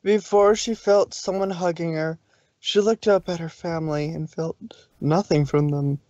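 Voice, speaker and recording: A man's voice: fairly high pitch, fairly even intonation, moderate pace, darkish tone, no echo, lo-fi sound. A man narrating a fan fiction story on a live stream, adding casual asides. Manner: plain reading with asides. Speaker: reader, narrator.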